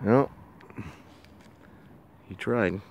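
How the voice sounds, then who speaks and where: A man's voice: on the low side, drawn-out words, a little mellow, slight background noise. A man chatting casually outdoors on a snowy mountain roadside, explaining what he sees as he films. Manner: enthusiastic, explaining. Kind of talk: casual chat.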